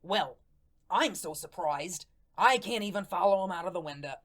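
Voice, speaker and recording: A woman's voice: on the low side, lively intonation, normal speed. A woman playing the earnest, hapless ghost of a murdered tough guy in a radio drama, talking in folksy slang. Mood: earnest, wistful. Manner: folksy slangy speech with dropped grammar and rhetorical questions. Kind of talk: radio drama first-person monologue.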